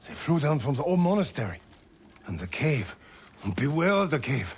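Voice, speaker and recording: A man's voice: on the high side, sing-song, moderate pace, slight background noise. A man playing a traumatized, breathless villager in a game, speaking with fearful urgency. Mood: terrified warning. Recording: loud music under the voice.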